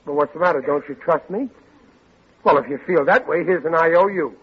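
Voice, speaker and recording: A man's voice: a little high, fairly quick, slightly rough. An actor playing a stubborn, blustering middle-aged family father in a vintage radio sitcom, folksy and ungrammatical. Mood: defensive, boastful. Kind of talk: 1940s radio sitcom acting. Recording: dull old radio recording.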